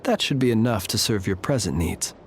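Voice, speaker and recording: An actor playing a courteous, solemn lighthouse guard officer in a video game, speaking in a formal, measured, reassuring way. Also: male voice, on the low side, animated, slight background noise. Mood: composed.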